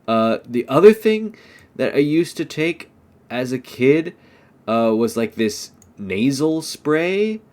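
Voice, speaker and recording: A man's voice: mid-range voice, sing-song, slow, a little mellow. A young American man, stuffed up from allergies, giving an unscripted English lesson and rambling with lots of "um" and "like". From United States. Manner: rambling, many fillers like "um" and "like". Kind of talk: unscripted casual monologue.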